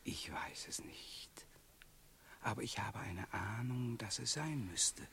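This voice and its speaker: An actor playing a calm, enigmatic wandering companion in a German radio play, speaking in a measured, cryptic, hushed way. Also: male voice, medium pitch, husky, in a whisper, exaggerated voice. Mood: foreboding.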